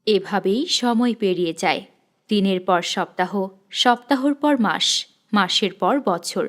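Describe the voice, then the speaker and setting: A woman's voice: medium pitch, measured pace. A woman reading scripted fairy tale narration as the storyteller.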